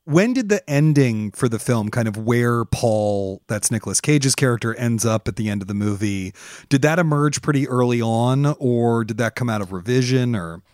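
A man's voice: medium pitch, expressive, moderate pace, darkish tone. A male interviewer with a mid-range voice asks engaged, curious questions in an easy conversational tone. The sound is close and dry, with no room echo. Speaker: podcast host.

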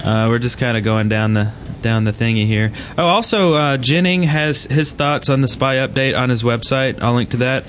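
A man's voice: medium pitch, moderate pace, few pauses, a bit bright. A man hosting a podcast live at a convention, chatting casually with the people around him. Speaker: podcast host. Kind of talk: casual group chat. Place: convention room with a live audience. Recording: clearly noisy live convention room.

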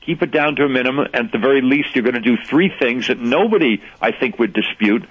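A man's voice: medium pitch, expressive, fairly quick, slightly rough. An older man speaking as a radio interview guest, earnest and persuasive, chuckling now and then. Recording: dull lo-fi radio sound.

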